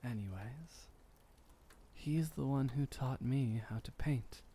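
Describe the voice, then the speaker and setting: Man playing a slow, mellow vampire boyfriend. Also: mid-range voice, husky. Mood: wistful, reminiscing. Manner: soft, unhurried, tender, lightly formal old-fashioned phrasing. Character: gentle, doting centuries-old male vampire boyfriend, teasing and soothing. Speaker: voice actor in ASMR roleplay. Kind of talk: intimate ASMR comfort roleplay.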